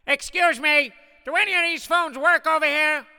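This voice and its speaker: Man acting a cheeky, high-voiced traveler in a story. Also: fairly even intonation, normal speed, bright, forceful tone, heavily acted. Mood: muttering, hopeful. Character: cheeky, excitable man traveler, talking to himself, gleefully taunting. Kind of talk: acted storytelling for listeners.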